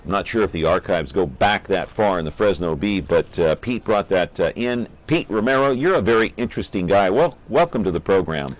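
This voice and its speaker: This is a man with a fairly low-pitched voice. He works as the host of an interview program. His delivery is conversational and curious, with open enthusiasm for what he presents. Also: expressive, fairly quick, hardly any pauses.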